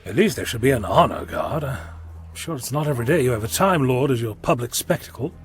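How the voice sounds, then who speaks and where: An actor playing a chatty, wry, inquisitive time-travelling adventurer in an audio drama, talkative and flippant. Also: male voice, mid-range voice, animated, brisk, soft background music, lightly acted. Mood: flippant, taunting.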